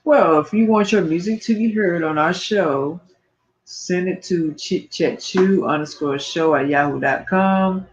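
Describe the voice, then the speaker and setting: A man's voice: high-pitched, measured pace. A tired man co-hosting a podcast, talking in a casual, slangy, blunt and emphatic way. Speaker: podcast co-host. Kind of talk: casual, slangy show talk. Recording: dull, lo-fi stream audio.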